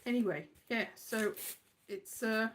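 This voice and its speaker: A woman's voice: on the high side, slow pace, slight background noise. A woman chatting in a casual, rambling monologue, with a scatterbrained, giggly, self-deprecating manner. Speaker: performer and host, former journalist.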